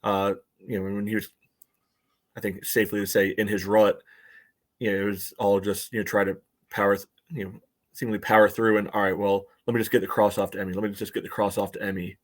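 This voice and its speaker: An American man co-hosting a casual soccer podcast, breaking down play in a halting way full of verbal tics. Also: on the low side, flat delivery. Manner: halting, peppered with "you know". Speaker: podcast co-host and soccer supporter. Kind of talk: casual sports analysis chat.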